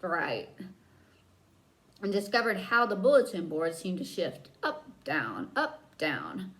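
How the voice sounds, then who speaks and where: A woman narrates a children's story in a very animated read-aloud style. Her medium-pitched voice moves up and down freely, and she stretches key words for dramatic emphasis. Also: poor audio.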